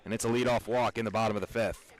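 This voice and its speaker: A man with an American accent calling baseball play-by-play for radio in a measured, descriptive storytelling way. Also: fairly low pitch, fairly even intonation, low-quality recording. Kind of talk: radio sports commentary.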